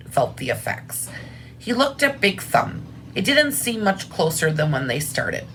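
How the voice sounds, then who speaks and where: A woman's voice: deep voice, slight background noise, poor audio. A woman reading aloud expressively as a teacher, with simple, informal explanations about what to draw. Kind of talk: expressive read-aloud with drawing asides.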